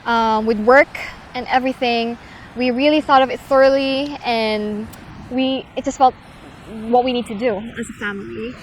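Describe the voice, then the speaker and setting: A young woman chatting casually to camera as a vlogger, full of fillers and quick restarts. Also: on the high side, fairly even intonation, a little hiss or hum, poor audio. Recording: music bed underneath.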